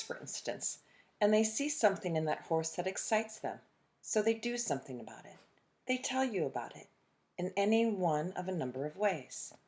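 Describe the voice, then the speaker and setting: A woman reading a children's picture book aloud expressively, phrasing deliberately with dramatic pauses. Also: fairly low pitch, a little mellow. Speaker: children's storytime reader.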